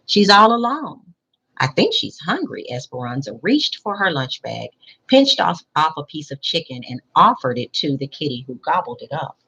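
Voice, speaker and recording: Husky, low-voiced woman reading to children. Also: a bit bright, lo-fi sound. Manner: pauses to explain and question listeners. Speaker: school principal reading to children. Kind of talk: children's picture book read-aloud.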